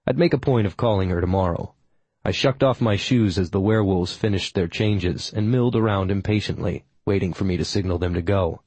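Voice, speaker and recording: Low, mellow male audiobook narrator. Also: a little fast, slightly rough, no room sound. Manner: steady, even storytelling. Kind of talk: first-person fantasy audiobook narration.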